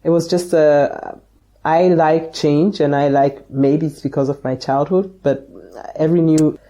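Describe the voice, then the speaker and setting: A woman's voice: low-pitched, fairly even intonation, a little slow, mellow. A woman from Ghana, a podcast guest who talks reflectively and laughs often while recalling things. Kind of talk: casual interview chat.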